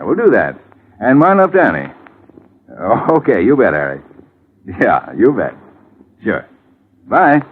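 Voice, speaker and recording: An actor playing a middle-aged lawyer in a vintage radio drama, glib and brisk, with a folksy, evasive way of talking. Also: male voice, mid-range voice. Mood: brisk, affable. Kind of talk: 1950s radio drama acting. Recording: muffled, hissy vintage radio recording.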